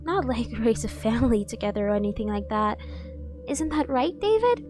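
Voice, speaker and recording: A woman's voice: on the high side, big pitch swings, moderate pace, music in the background. A woman playing a dorky, obsessively devoted yandere bodyguard in an ASMR roleplay, cutesy, gushing and insistent. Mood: pointedly insistent.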